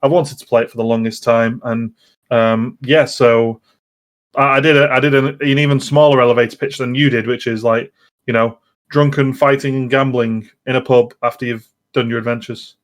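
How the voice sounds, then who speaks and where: Podcast guy, mid pitch, just chatting casually about games. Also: steady tone, normal speed. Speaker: podcast co-host.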